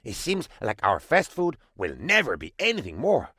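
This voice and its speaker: An obsequious, excitable presidential aide in a comic strategy game. His theatrical speech swoops widely at a middle male pitch. The sound is close and dry. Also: normal speed, husky, no room sound, heavily acted. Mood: disappointed, hesitant. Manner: theatrical, fawning, swooping between excitement and dismay. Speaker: game advisor character. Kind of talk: comic video game voice acting.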